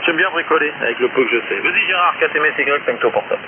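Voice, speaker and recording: A man's voice: a little high, very fast. A man chatting informally and self-deprecatingly as an amateur radio operator over a shortwave link. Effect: shortwave radio transmission. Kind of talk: casual chat over ham radio. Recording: narrow-band shortwave radio with clear static.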